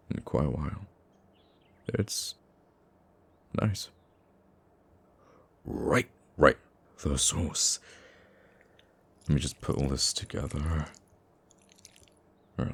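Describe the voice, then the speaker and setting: Man acting a very deep, gravelly soft-hearted villain. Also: expressive, slow pace, long pauses, exaggerated voice. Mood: soft, amused. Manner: dry, grumbling, affectionately teasing. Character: gruff, teasing supervillain with a secret soft side. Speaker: ASMR roleplay voice actor. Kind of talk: intimate ASMR roleplay acting.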